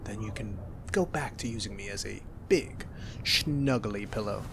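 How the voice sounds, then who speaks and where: A young man with a lowish voice talks softly and gently, teasing in a playful way. It is an intimate ASMR roleplay delivery. A steady hiss runs under the recording. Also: unhurried, vocal fry. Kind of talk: soft-spoken ASMR roleplay.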